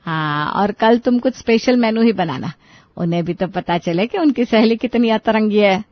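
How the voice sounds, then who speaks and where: A woman's voice: medium pitch, normal speed, no echo, highs cut off, lightly acted. A woman voicing a scheming middle-aged mother-in-law in a comic story, coaxing and sarcastic beneath false sweetness. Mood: falsely sweet.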